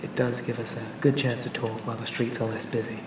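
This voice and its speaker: A calm and pleasant male AI companion acted by a man for an ASMR roleplay. He speaks gently and reassuringly in a narrow, even pitch. The recording sounds distant and echoey, with clear ambient noise behind him. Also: fast talker, natural voice. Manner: gentle, hesitant, reassuring, conversational. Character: charming, pleasant male AI personal assistant for a police officer.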